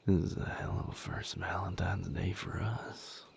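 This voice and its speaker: A man playing a wry, tender vampire boyfriend in an intimate audio roleplay, with a breathy, gravelly voice and a folksy, unhurried, softly teasing way of talking. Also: deep voice, flat delivery, in a whisper, exaggerated voice. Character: wry, tender male vampire boyfriend tending injured partner.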